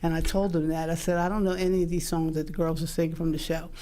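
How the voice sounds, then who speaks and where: A woman's voice: deep voice, fast talker. A woman from Philadelphia with an American accent, co-hosting a talk show and telling anecdotes casually, acting out the dialogue. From Philadelphia, United States. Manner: animated storytelling, acting out quoted dialogue.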